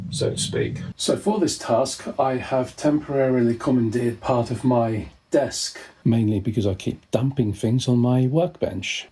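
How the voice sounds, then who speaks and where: A man hosting a hands-on repair tutorial, talking casually and with dry humour as he works indoors at a desk. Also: mid-range voice. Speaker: host of a repair video. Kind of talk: casual hands-on tutorial commentary while working. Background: clicks and handling noise of tools.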